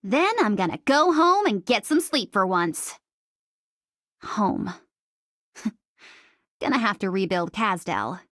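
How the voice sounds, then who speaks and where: A woman's voice: medium pitch, sing-song, slow pace, pauses often, fairly bright tone, no room sound, clean studio sound. A woman voicing a cocky, bloodthirsty female mercenary in a video game, with a sarcastic, teasing and playfully menacing delivery. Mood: weary, irritated. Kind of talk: video game character voice lines.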